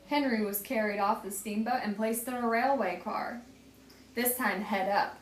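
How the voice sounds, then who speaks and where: A woman reading a children's picture book aloud as a teacher, with a deliberate, dramatic storytelling delivery. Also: on the high side. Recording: echoey room.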